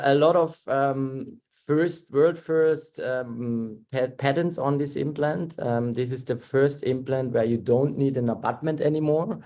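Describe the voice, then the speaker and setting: German guy doing a webinar on a computer mic, mid pitch, lots of ums and restarts. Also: darkish tone. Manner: halting, many fillers and restarts. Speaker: webinar presenter, dental technician. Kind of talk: online webinar presentation.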